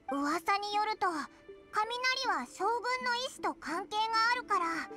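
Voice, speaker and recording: A woman voicing a tiny childlike fairy companion in a video game, squeaky, eager and blunt with boyish phrasing. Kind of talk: scripted video game dialogue.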